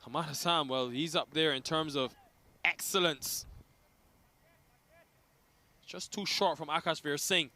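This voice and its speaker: A man's voice: on the high side, a little slow, long pauses, bright, forceful tone. A man calling a cricket match as play-by-play commentator, rising with the big hits.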